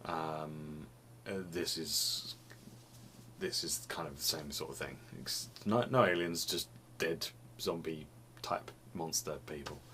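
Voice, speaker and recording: A young man with a very deep, low voice talks loosely to the camera, circling back and repeating himself as he goes. He sounds a little sniffly. It is recorded on a desk camera in a small room at home, with a faint hiss behind him. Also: slow, sharp tone. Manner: rambling, restarts phrases, laughs often. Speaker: vlogger. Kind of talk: casual chat to camera.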